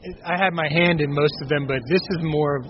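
A man in his thirties with a raspy speaking voice, a singer-songwriter answering interview questions in a halting way with many restarts. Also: fairly high pitch, fairly even intonation. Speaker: bluegrass singer-songwriter, guest. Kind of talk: casual interview talk. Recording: dull lo-fi audio with clear noise.

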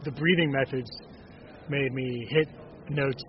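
A man in his thirties with a raspy speaking voice, a singer-songwriter answering interview questions in a halting way with many restarts. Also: mid-range voice, steady tone. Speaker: bluegrass singer-songwriter, guest. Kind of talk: casual interview talk. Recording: dull lo-fi audio with clear noise.

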